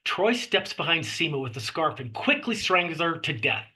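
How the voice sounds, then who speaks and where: A man narrates scene directions from a horror script. His voice is a little high, bright and forceful, with a husky edge. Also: sing-song, moderate pace. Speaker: stage directions reader.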